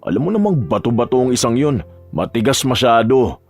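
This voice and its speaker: A man's voice: medium pitch, animated, fairly quick. Man acting a cocky young playboy over music. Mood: dismissive. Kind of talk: Tagalog romance audio drama acting. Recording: music bed behind the voice.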